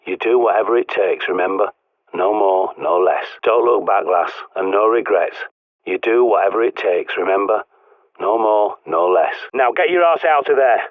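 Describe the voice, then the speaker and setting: A man's voice: lowish voice, normal speed, no echo. A man voicing a blunt, sardonic male survivalist in a video game, with a British accent, guiding someone over the radio. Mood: grumbling. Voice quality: gruff, sardonic, swears often. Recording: dry tinny radio-like line.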